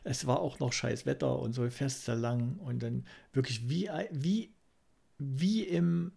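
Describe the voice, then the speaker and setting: A man with a gravelly, fry-laden voice of middling pitch chats as a podcast host. He rambles reflectively and keeps tagging his sentences to check that his listener agrees. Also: a little slow, mellow. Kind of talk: casual conversational chat.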